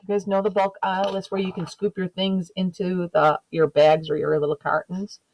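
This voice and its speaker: A woman reading a picture book aloud expressively like a teacher, pausing to put questions and asides to young listeners. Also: lowish voice, rough recording. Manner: animated, asks the listeners questions.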